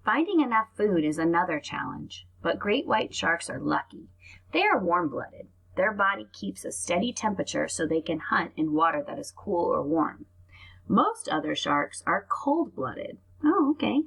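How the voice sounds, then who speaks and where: A woman's voice: on the low side, big pitch swings, normal speed, gravelly, a bit bright, breathy edge, rough recording. A woman reading aloud to young children like a teacher, explaining things and adding asides as she goes.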